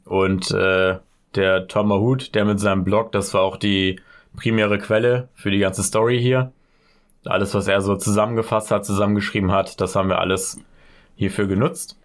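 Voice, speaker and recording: Laid-back low-voiced podcast man. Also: normal speed. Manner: relaxed, laid-back, reads out case details. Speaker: podcast co-host. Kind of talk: casual true-crime podcast storytelling.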